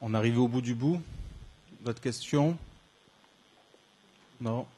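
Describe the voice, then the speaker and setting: A man's voice: medium pitch, takes it slowly, warm tone, slightly rough. A man moderating a conference, wrapping up the session in a few brief closing remarks. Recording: noisy crowded room.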